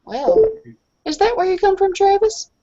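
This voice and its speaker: A woman's voice: very high-pitched, animated, normal speed, dark tone. A woman improvising in-character conversation as a player in a roleplaying game. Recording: dull, lo-fi online voice chat.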